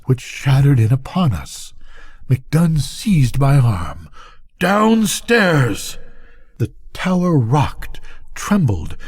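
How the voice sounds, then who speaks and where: Expressive older man, slow mellow storyteller. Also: medium pitch, slightly rough. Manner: deliberate, expressive, dramatic phrasing. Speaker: storyteller, grandfather reading aloud. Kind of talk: dramatic bedtime story narration.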